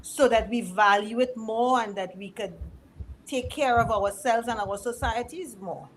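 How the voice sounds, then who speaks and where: A woman's voice: on the high side, measured pace, noisy background. A woman, a guest in an interview, speaking earnestly and reflectively, often repeating words as she builds a point. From Caribbean. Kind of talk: conversational interview.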